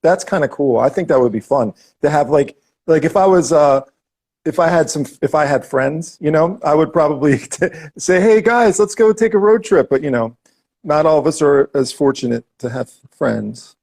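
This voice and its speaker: A man hosting a relaxed podcast chat, his voice strongly gravelly with a fair bit of fry and a slightly raised pitch. He jokes, restarts his sentences and drifts into goofy asides. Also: moderate pace. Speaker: podcast host.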